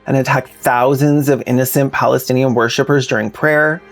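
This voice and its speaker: A man's voice: medium pitch, fairly even intonation, normal speed, soft background music. A man narrating a scripted commentary video in a plainspoken, informal way with sardonic asides. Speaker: video essayist, host.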